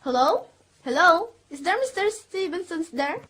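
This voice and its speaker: A woman's voice: very high voice, animated, normal speed, fairly bright tone. A woman playing an anxious, demanding invalid wife in a radio drama, fretful and imperious in her melodramatic delivery. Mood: puzzled.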